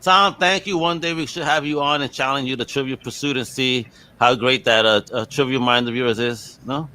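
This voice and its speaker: A man's voice: medium pitch, fairly even intonation, moderate pace, sharp tone, clean tone. A man hosting a casual podcast interview in an enthusiastic, slangy way. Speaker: podcast host.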